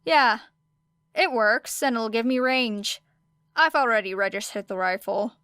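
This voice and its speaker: A woman's voice: a little high, measured pace, pushed and bright, smooth, dry recording, studio quality. Woman as a guarded, casual teenage girl. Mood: matter-of-fact. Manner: casual, evasive, understated. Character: guarded, apologetic teenage girl hero student.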